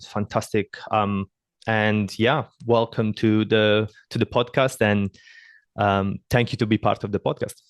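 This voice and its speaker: A man with an Italian accent hosts a casual podcast conversation. His voice sits fairly low, his phrasing is halting with repeated words, and the recording is dry with no room sound. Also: smooth, dry recording. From southern Italy. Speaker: podcast host.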